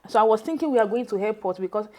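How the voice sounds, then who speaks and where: A woman's voice: medium pitch, expressive, racing, darkish tone, poor audio. A Nigerian woman whose first language is Yoruba, telling her own story in a loose, conversational way with many fillers and restarts.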